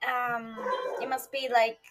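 A woman's voice: a little high, level tone, takes it slowly, a bit bright. A woman in her early twenties from Greece with a Greek accent, chatting casually as a podcast host in a rambling, hesitant way. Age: early 20s. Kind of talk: casual solo podcast chat. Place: bedroom at home. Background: chill R&B background music.

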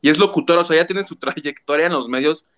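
A man's voice: fairly high pitch, quick pace, fairly bright tone, dull audio. A man hosting a gossip show, telling the story animatedly and acting out quotes. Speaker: show host. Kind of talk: casual gossip commentary.

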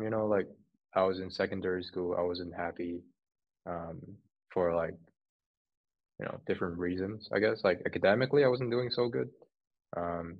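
Low-voiced young man, dull call audio. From Hong Kong. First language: Cantonese. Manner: relaxed, filler-heavy, laughs easily. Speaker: podcast guest. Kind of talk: casual interview chat.

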